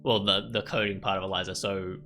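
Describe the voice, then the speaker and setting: A man doing casual let's play commentary, thinking aloud in a halting way as he goes. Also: sits low, little pitch movement, sharp tone, faint music behind. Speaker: gaming video creator.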